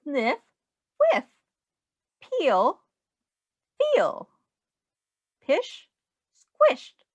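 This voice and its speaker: Woman reading aloud to children, very slowly. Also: high-pitched, wildly swooping pitch, no room sound, lo-fi sound. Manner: sing-song, simple questions and explanations for young children. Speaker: teacher reading a picture book to children.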